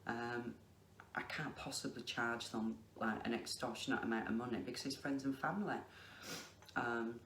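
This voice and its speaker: A middle-aged woman with a Lancashire accent, chatting casually to camera in a slow, deliberate way with many ums. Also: rumbling low, fairly even intonation, fairly bright tone. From England. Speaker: craft vlogger. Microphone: facing the camera. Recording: echoey room with faint noise.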